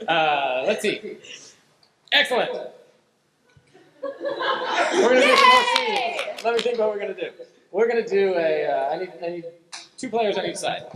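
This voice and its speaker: High-voiced man hosting improv, echoey hall. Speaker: improv show host. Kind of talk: casual live stage announcing. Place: live theater stage. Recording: echoey hall with audience noise.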